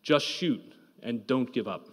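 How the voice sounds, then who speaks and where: A man's voice: medium pitch, measured pace. An older man delivering a prepared graduation address as a department head. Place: large indoor auditorium. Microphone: podium microphone. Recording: reverberant hall, podium microphone.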